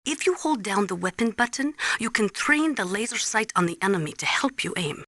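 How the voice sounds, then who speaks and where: Tough female military analyst, Ukrainian accent, bright crisp tone, earnest, deliberate, instructive, video game briefing, dry close sound. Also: mid-range voice, animated, no echo. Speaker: military analyst and nuclear specialist. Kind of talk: scripted game voice acting, briefing.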